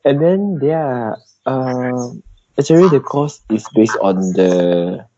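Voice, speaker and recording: A man with a Malaysian accent giving an update in an informal work meeting on a video call, in halting non-native English. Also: medium pitch, expressive, mellow, dry recording. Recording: dull, noisy video call with clicks.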